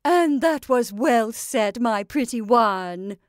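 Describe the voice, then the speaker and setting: A woman's voice: a little high, animated, slow pace, dry recording, studio quality. A woman voicing a domineering old witch in a storybook, scornful and coaxing, with emphatic, declamatory archaic speech. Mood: gleeful, doting.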